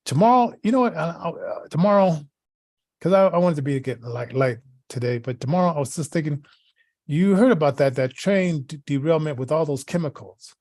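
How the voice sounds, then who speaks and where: A man hosting a casual radio talk show, speaking haltingly and often repeating words and phrases as he goes. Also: a little high, a little mellow, dry recording.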